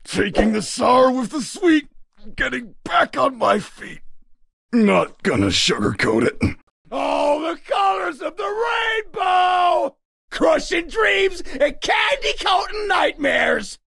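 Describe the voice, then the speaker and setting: Grumpy game unicorn, sardonic, theatrical, very slow delivery, swooping pitch. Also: male voice, big pitch swings, creaky, a bit bright, no echo, raised voice, clean studio sound, heavily acted. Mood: manic, battle-crazed. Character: grumpy, sarcastic male unicorn candy-shop worker. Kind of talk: video game character voice lines.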